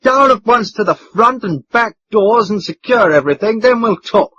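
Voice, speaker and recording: A man's voice: high voice, animated, moderate pace, pushed and bright, husky, no room sound, muffled recording, pitched above the performer's own voice, heavily acted. A man voicing a stiff old military colonel who gives orders in a harsh, raucous way. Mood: commanding. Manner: raucous, commanding.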